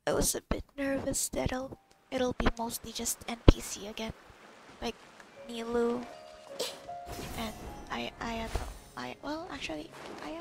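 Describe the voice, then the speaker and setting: A woman playing a video game and commenting casually, excitable, with muttered asides to herself. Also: fairly high pitch, vocal fry, poor audio. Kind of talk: casual gameplay commentary, reading dialogue choices aloud. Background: loud game music.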